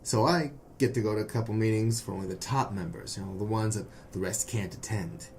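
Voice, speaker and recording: An actor playing an earnest, nervous young man, hesitant and excitable, in a noir audio drama. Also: lowish voice, brisk, a little hiss or hum, lightly acted. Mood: uneasy, confessing. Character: earnest, nervous young male defector from secret brotherhood.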